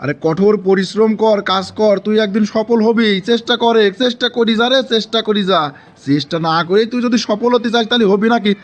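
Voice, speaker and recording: A man acts out a comic cartoon guru who lives in the forest. His voice is notably high, with a Bangladeshi accent. He preaches and chides in an exasperated way, repeating his orders for emphasis. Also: moderate pace, natural voice. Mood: chiding. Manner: preachy, chiding, repeats commands. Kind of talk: exaggerated comic cartoon acting.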